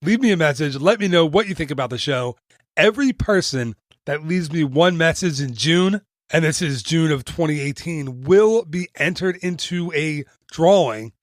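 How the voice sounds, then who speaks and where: A male podcast host with a fairly high voice runs through his promo in a chatty, upbeat way. He breaks things up with self-deprecating asides. His studio mic sounds close and dry, with no room echo. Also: animated, normal speed. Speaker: podcast host and travel agent.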